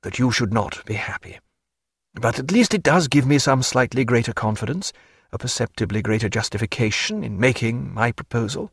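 A man reading an earnest gentleman in an audiobook, speaking in a stiff, formal manner. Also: mid-range voice, lively intonation, moderate pace, vocal fry, husky, no echo, studio quality.

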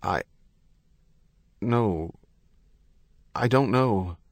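A man as a dazed, croaking injured man. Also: lowish voice, no room sound, studio quality. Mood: dazed, confused. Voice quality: weak, croaking. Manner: halting, gasping. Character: injured, dazed, grieving man in hospital bed.

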